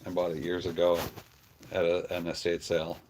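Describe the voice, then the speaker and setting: A man's voice: deep voice, completely flat delivery, moderate pace, a little mellow, slight background noise. A man chatting casually and affectionately as he hosts a pet livestream. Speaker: pet channel streamer. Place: on a farm.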